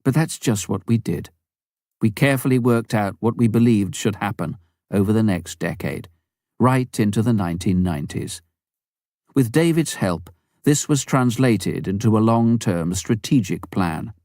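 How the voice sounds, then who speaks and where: A man with a low-pitched voice narrates a memoir as an audiobook reader. His intonation moves up and down in a lively way, and the sound is close and dry, with very little room in it. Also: moderate pace, no room sound.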